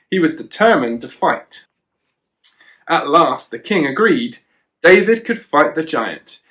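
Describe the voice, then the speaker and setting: A man's voice: on the high side, fairly bright tone. A man reading a children's storybook aloud, with chatty asides to a child. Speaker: children and youth worker, storyteller.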